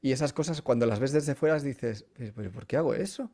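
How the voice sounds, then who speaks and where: A man with a Castilian Spanish accent and an average-pitched voice, speaking as a voice coach in a podcast interview. He explains casually, using everyday examples and stopping to check he is understood. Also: fast, dark tone. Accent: Spanish from Spain.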